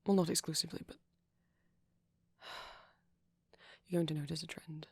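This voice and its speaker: A close, dry recording of a man playing a shy young vampire boyfriend in an ASMR roleplay. His voice is soft and breathy with a creaky edge, often dropping to a whisper. He is gentle and nervous, rambling hesitantly and apologetically. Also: on the high side, dry recording, full character voice. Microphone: close microphone.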